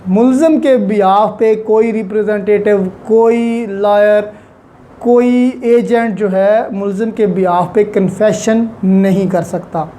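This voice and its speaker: A woman's voice: mid-range voice, fairly even intonation, slow pace, mellow, slight background noise. A woman giving an explanatory lecture in a didactic way, repeating key phrases for emphasis and mixing in English terms. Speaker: law lecturer. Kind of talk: explanatory lecture, Urdu-Hindi mixed with English legal terms.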